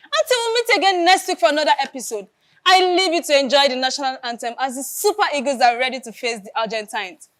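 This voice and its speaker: A female Nigerian radio host with a high, bright voice. She addresses her listeners formally, in a polished presenter's delivery. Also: quick pace. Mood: upbeat, formal. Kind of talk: radio presenter announcing, stage acting.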